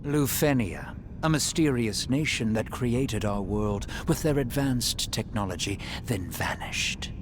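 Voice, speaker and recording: An actor playing a courtly, knowing monster in a video game, with measured formal phrasing and a breathy edge. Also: male voice, animated, natural voice. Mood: mysterious, hushed. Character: enigmatic, amused male monster, courtly and knowing. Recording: rumbling noise behind voice.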